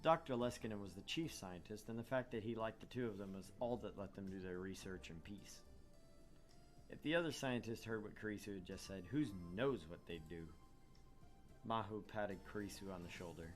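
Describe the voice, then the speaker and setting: A man's voice: on the low side, brisk, soft background music. A man reading a visual novel aloud as narrator, dropping in wry, joking casual banter. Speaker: let's play host narrating a visual novel.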